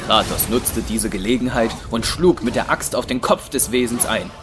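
A man's voice: mid-range voice, normal speed, over music. A man reading scripted narration for an action audio drama.

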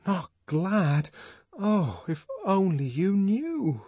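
An ardent young man in love , as a male narrator acts him out, pitched high and pleading. He declaims with halting, reverent fervour and wide swoops, in a husky storybook voice. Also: lively intonation, darkish tone, no echo, heavily acted. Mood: reverent, rapturous.

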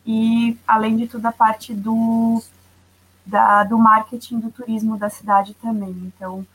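A woman from Santa Catarina, a publicist guest, talking in a measured, careful way over a video call. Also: fairly high pitch, level tone, fairly bright tone, slightly rough, rough recording. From Santa Catarina, Brazil. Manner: measured, careful, with many "né" tags. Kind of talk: casual interview talk.

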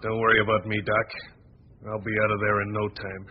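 Cocky thief, muffled old radio. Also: male voice, lowish voice, little pitch movement, brisk, husky. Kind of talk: 1940s radio crime drama acting. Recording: dull, noisy vintage radio recording.

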